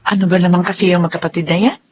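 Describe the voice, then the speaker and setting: A woman's voice: fairly low pitch, fairly even intonation, very fast, breathy edge, lo-fi sound. A woman acting a chatty, exclamatory, caring auntie in a Filipino radio drama, with faint noise behind. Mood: fussing, exclamatory. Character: chatty, exclamatory, caring older family friend.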